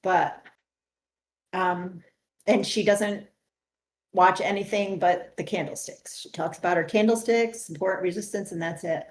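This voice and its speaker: A woman's voice: lowish voice, steady tone, unhurried. A woman trader chatting casually with a host, laughing easily. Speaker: guest trader. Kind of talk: casual chat.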